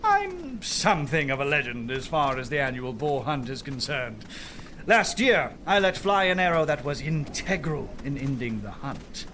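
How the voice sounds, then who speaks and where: An actor in an audio drama playing a boastful, sneering senior knight with a mocking, theatrical delivery. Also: male voice, medium pitch, sing-song, normal speed, noisy background, close to an everyday voice.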